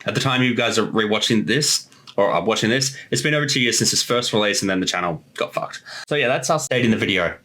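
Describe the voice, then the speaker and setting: A man's voice: fairly low pitch, expressive, fast, hardly any pauses, bright, forceful tone. A man chatting casually and reflectively as a creator, explaining his work in a director's commentary. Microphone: room mic, no headphones.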